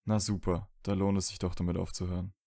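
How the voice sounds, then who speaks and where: A man's voice: deep voice, level tone, fast, vocal fry, dark tone, no echo, studio quality. A man acting a stubborn, wounded, emotionally open young wizard in a fan audio drama, speaking earnestly and colloquially. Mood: weary, worried.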